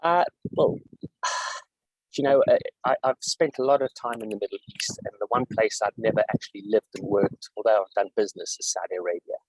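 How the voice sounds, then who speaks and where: Low-voiced South African man, measured. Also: no room sound, rough recording. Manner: measured, with frequent fillers and restarts. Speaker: guest executive. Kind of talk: interview answers.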